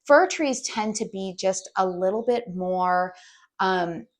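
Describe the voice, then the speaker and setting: A woman with a raspy voice from a head cold, casually reviewing a candle outdoors in a chatty way with lots of ums. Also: medium pitch, slow. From US West Coast. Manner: chatty, frequent ums and restarts. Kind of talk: casual product review vlog. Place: outdoors with birdsong.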